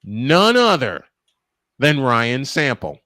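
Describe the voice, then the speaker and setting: A man calling live baseball play-by-play and telling stories in an animated, emphatic way, stretching phrases for drama. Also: mid-range voice, slow, no echo, studio quality. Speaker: play-by-play sportscaster. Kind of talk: live baseball commentary mixed with animated storytelling.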